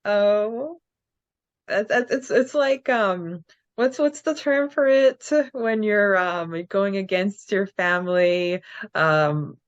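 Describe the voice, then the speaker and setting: A woman's voice: mid-range voice, takes it slowly, dry recording, dull audio. A woman co-hosting a casual podcast who rambles and searches for words as she chats. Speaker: podcast co-host. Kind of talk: casual chat.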